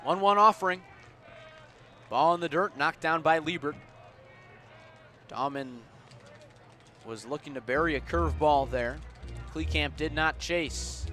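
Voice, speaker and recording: A man calling baseball live on the radio, measured between pitches and punchier on plays, as an announcer does. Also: sharp tone, faint music behind. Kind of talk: live radio sports commentary. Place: outdoor baseball ballpark. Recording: outdoor ballpark ambient noise.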